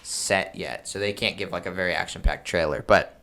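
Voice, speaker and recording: A man's voice: low voice, a little fast, bright, forceful tone. A man hosting a podcast, explaining things in an informal, casual way with many filler words and self-interruptions. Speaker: podcast host giving game master advice.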